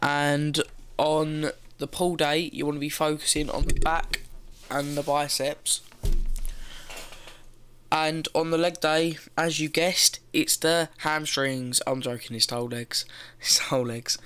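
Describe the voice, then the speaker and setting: A man's voice: fairly high pitch, flat delivery, unhurried, sharp tone, slight background noise. A teenage boy giving casual gym advice from his bedroom, loose and rambling, swearing now and then, talking into a gaming headset. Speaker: fitness vlogger. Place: bedroom, indoors.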